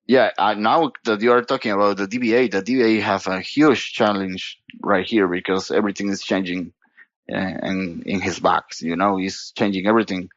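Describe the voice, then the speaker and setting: A man from Costa Rica with a Costa Rican Spanish accent, talking as a guest in a casual podcast interview, halting and restarting his sentences and leaning on "you know". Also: fairly low pitch, fairly even intonation, a bit bright, no room sound, dull audio. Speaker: guest data platform expert.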